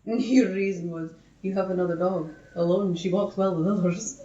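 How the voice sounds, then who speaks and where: Low, mellow woman in casual chat. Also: steady tone, normal speed. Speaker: podcast co-host. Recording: echoey room, slightly dull sound.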